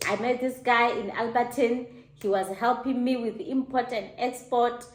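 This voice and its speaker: A middle-aged South African woman hosting her own show, telling a personal story in an animated, laughing way. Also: normal speed. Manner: animated, laughing, high-pitched mock exclamations. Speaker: host. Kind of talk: casual storytelling to camera. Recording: echoey room.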